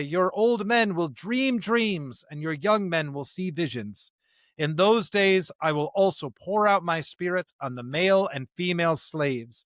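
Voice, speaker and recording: A man's voice: on the high side, a bit bright, dry recording. A man co-hosting a podcast, discussing and reading scripture in an emphatic, conversational way. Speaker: podcast co-host.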